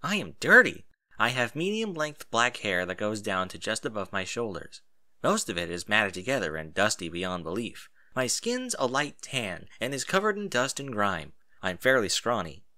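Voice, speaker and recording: A man narrating a first-person story in an expressive reading style. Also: lowish voice, normal speed.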